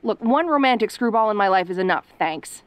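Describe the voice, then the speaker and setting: A woman acts out a tsundere tomboy in an audio roleplay. She is sassy and easily flustered, talking casually and defensively, with sarcasm that covers her softer side. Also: medium pitch, animated, fairly quick, clear, resonant tone, no room sound, close to an everyday voice. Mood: embarrassed, sassy. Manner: casual, defensive, sarcastic, hesitant when sentimental.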